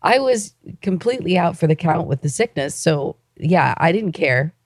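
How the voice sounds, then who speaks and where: A woman co-hosting a casual podcast, hoarse from a cold and talking in a wry, teasing way. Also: on the low side, lively intonation, moderate pace, no echo, studio quality. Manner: wry and teasing, laughs often. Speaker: podcast co-host.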